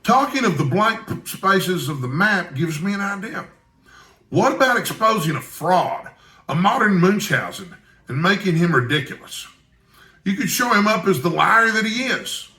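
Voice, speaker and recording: A man's voice: high-pitched, very animated, moderate pace, sharp tone, reverberant, pitched above the performer's own voice, heavily acted. A man voicing a kindly, shrewd older Scottish news editor from a storybook, with a wry, expansive manner. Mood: wry, musing.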